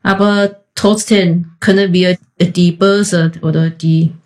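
A woman's voice: on the low side, fairly even intonation, takes it slowly, darkish tone. A woman from Taiwan, speaking German with a Taiwanese accent as a non-native speaker, answering interview questions hesitantly and searching for words. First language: Chinese. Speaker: podcast guest.

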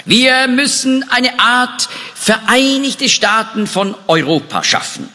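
A man's voice: very high voice, slow pace. A man giving a spoken talk on history, with frequent hesitations and restarts. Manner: lively, animated delivery with hesitations. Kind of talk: lecture on European history. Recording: echoey hall reverb.

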